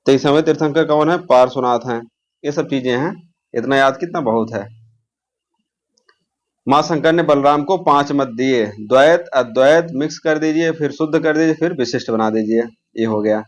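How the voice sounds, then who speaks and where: A man's voice: mid-range voice, normal speed. Man teaching, question by question. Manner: didactic, asks questions then answers them, repeats key terms. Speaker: exam coaching teacher. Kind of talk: question-and-answer exam lesson.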